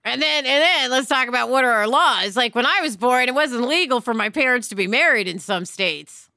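A woman in her fifties from New York City talks through a podcast discussion with lively, opinionated energy. Her voice is bright and crisp, pitched a little high, and the recording is close and dry. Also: a little fast, no room sound. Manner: animated, opinionated, jumps in. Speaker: podcast co-host. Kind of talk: casual discussion.

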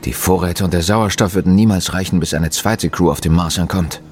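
An actor playing a calm, resigned man in mission control, speaking in a measured, matter-of-fact way in an audio drama. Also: sits low, faint music behind, natural voice. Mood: calm, grave. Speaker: actor in a fiction podcast. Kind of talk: naturalistic audio drama acting.

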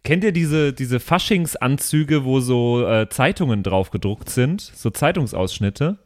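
A man's voice: mid-range voice, animated, measured pace, a little mellow, no room sound, studio quality. A man describing things casually as a player in a tabletop game. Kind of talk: casual chat.

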